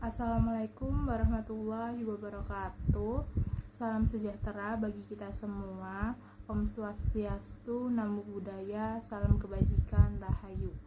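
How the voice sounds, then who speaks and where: A woman's voice: mid-range voice, level tone, measured pace. Young woman presenting, noisy recording. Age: young adult. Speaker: university student presenter. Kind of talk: scripted presentation.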